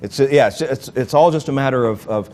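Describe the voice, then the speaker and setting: A man's voice: mid-range voice, brisk, darkish tone. A man hosting a casual interview with a magician, talking in rambling restarts and asking questions.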